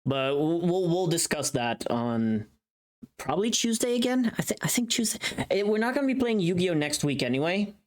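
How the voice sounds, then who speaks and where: A livestream host with a mid-pitched voice and very animated, swinging intonation. The talk is casual and profane, like someone thinking aloud while working on screen. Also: normal speed.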